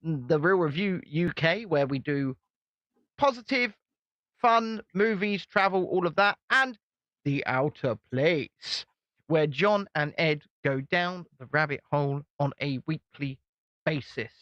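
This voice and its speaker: A man co-hosting a casual commentary show, presenting in a brisk, upbeat way. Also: fairly high pitch, lively intonation, a bit bright, dry recording. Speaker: co-host. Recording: dull, dry lo-fi recording.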